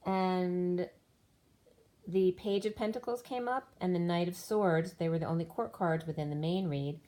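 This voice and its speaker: A woman's voice: lowish voice, measured pace, mellow. A woman giving a solo spiritual tarot reading, explaining cards and numbers one by one while losing her voice. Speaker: tarot reader.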